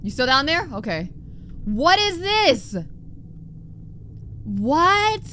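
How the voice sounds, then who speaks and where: A woman's voice: high-pitched, drawn-out words, slight background noise. A young adult woman giving casual, reactive gameplay commentary, talking to the game as she plays and sometimes reading aloud. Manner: animated, reactive, talks to the game. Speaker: gaming streamer.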